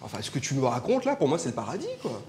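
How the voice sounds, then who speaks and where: A man's voice: mid-range voice, racing, slight background noise. A man, a political scientist and politician from Mauritius, arguing his point emphatically in a live panel debate. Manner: argumentative, animated, emphatic. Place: indoor public event.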